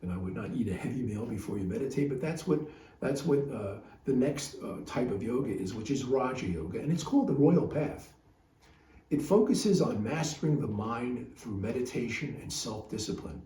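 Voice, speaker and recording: A man gives a reflective spiritual talk as a podcast host, his voice relaxed and dark in tone. He rambles and halts as he goes. The recording is roomy and a little distant from the mic, with faint guitar music. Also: medium pitch, moderate pace, a little hiss or hum.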